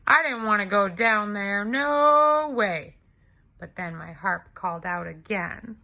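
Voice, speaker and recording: A woman's voice: mid-range voice, lively intonation, sharp tone, exaggerated voice. A woman voicing a big, hungry male giant who tells his side of a storybook tale in an aggrieved, exclamatory way. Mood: rueful, dramatic. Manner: exclamatory, indignant, deliberate storyteller. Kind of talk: expressive children's storybook read-aloud.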